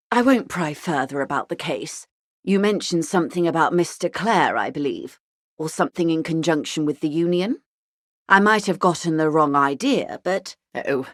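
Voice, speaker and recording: Older corporate negotiator lady for a game, low pitched, very refined and wry, shrewd, dry studio sound. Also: no echo. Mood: polite, probing. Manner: genteel, measured, wryly diplomatic. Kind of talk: video game character dialogue.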